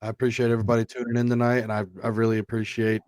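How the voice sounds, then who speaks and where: A man's voice: mid-range voice, completely flat delivery, very fast, a little mellow, no echo. A man co-hosting a casual podcast, talking in an easygoing, rambling way. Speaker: podcast co-host. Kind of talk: casual chat.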